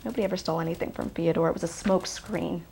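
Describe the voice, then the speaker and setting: A woman's voice: lowish voice, steady tone, quick pace, creaky, mellow, slightly rough, heavily acted. A woman acting as a defiant, bitter ex-girlfriend under police questioning, blunt and sardonic in a naturalistic film style. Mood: insinuating. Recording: faint background noise.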